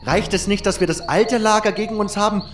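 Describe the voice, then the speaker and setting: A male reader acts out a fretful young mage in a fantasy audiobook. The voice is pitched up, hesitant and imploring. Music runs clearly behind it. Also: fairly quick, close to an everyday voice. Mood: worried, agitated. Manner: hesitant, pleading. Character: anxious young male mage, worried friend. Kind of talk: audiobook character voice.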